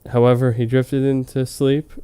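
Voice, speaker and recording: Smooth, mellow man reading mock-dramatically. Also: medium pitch, flat delivery, normal speed. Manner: hammy, amused, breaking off to comment. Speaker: reader of fan fiction on a comedy web show. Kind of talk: mock-dramatic reading with casual joking asides.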